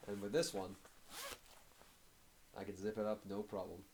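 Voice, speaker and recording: A hoarse man with a cold gives a casual progress update to camera, talking haltingly with many filler pauses. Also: on the low side, flat delivery, noisy background. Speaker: fitness vlogger.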